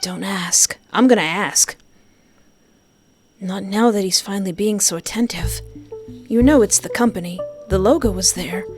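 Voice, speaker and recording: Female game player, medium pitch, expressive narration with casual asides, clear music underneath. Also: normal speed. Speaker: game player reading and reacting. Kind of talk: expressive read-aloud narration with casual reactions. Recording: music behind the voice.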